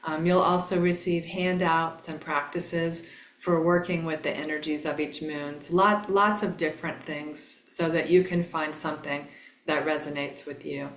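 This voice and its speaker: A woman hosts an online presentation in a gentle, halting way, often pausing with fillers. She speaks slowly in a slightly low voice. The sound is roomy and echoey. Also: fairly even intonation. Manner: gentle, hesitant, with many fillers. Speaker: program host. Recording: echoey room.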